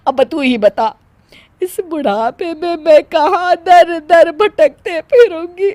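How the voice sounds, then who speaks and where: Woman acting a stubborn middle-aged cartoon mother, very high voice, unhurried, theatrical and whiny. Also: a little mellow, dry recording. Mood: fretful. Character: melodramatic, gullible, stubborn middle-aged Indian mother-in-law. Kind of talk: animated moral story acting.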